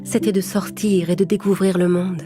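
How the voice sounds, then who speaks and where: A woman narrates a children's fairy tale as a storyteller. Her voice sits slightly low, with clear music playing behind it. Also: fairly even intonation, moderate pace.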